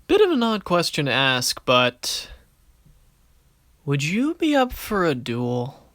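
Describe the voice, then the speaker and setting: A man's voice: fairly high pitch, wildly swooping pitch, slow, a bit bright, no room sound, clean studio sound, full character voice. A man voicing a confident, wisecracking young card duelist in an anime fan production, casual and theatrical. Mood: casual, wry. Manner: casual, wry, theatrical when announcing moves.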